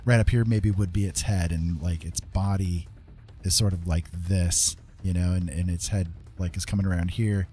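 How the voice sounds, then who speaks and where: A man's voice: low-pitched, steady tone, moderate pace, warm tone. A man hosting a casual drawing tutorial, thinking aloud in a rambling, informal way with frequent tag questions. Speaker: art tutorial host.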